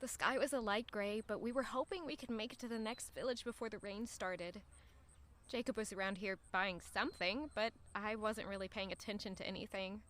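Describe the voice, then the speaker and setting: A woman's voice: medium pitch, fairly quick, fairly bright tone, lightly acted. An actress playing a guarded, introspective young woman traveler in an audio drama. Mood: brooding. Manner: introspective, polite, hesitant with strangers. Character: guarded, conscience-troubled young female traveler. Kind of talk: audio drama acting with narration.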